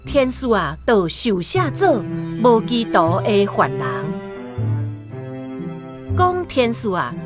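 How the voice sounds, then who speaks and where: A woman with a Taiwanese accent narrating a radio drama as an expressive scripted story. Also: fairly high pitch, slow pace, pauses often, over music.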